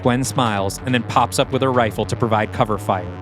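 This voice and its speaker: A man narrates a scripted audio play, setting scenes and action in present tense. His voice sits at a medium pitch. Music plays clearly underneath him. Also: a little fast. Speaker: narrator reading stage directions.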